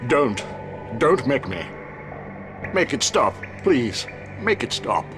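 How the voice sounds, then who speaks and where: An actor playing an elderly, commanding wizard headmaster in a video game, speaking formally and solemnly. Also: male voice, medium pitch, sing-song, slow, over music. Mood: pleading. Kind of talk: dramatic video game acting. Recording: loud orchestral score behind the voice.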